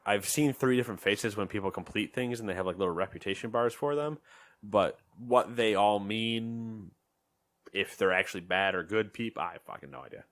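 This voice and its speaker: Low-voiced man in a joking podcast ramble. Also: normal speed, dry recording. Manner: rambling, joking, trails off into mumbles. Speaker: podcast co-host. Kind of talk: casual chat.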